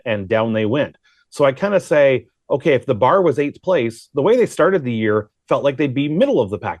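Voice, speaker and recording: A man with a medium-pitched voice talks sports like a seasoned broadcaster in conversation. He is talkative and informal, wandering into asides as he analyzes. The recording is dry, with hardly any room sound. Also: a little mellow, no room sound.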